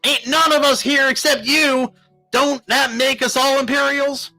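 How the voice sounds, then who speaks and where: A man voicing a sharp-tongued outlaw woman in an audiobook western, combative, with drawling cowboy slang. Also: extremely high pitch, steady tone, measured pace, vocal fry, soft background music, pitched above the performer's own voice, heavily acted. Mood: accusing.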